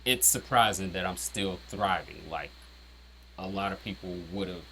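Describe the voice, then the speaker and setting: A man with a deep voice casually breaking down his own rap lyrics, rambling with lots of "um" and "you know". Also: a little slow, bright, forceful tone, slight background noise, low-quality recording. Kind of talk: casual explanatory chat.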